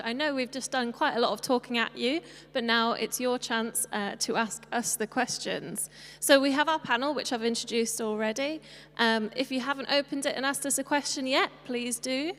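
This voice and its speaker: A woman's voice: a little high, moderate pace, a bit bright. A woman hosting a panel, chatty and upbeat as she reads questions. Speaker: event host and moderator. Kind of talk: casual moderating. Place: large hall on stage. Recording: echoey hall, faint noise.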